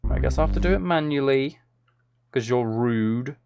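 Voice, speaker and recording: A man's voice: medium pitch, expressive, normal speed. Man musing aloud over music. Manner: musing, talks to himself, trails off mid-thought. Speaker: live streamer making music. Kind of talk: casual livestream chat, thinking aloud while producing music. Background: synth and drum loops from music software, clicking.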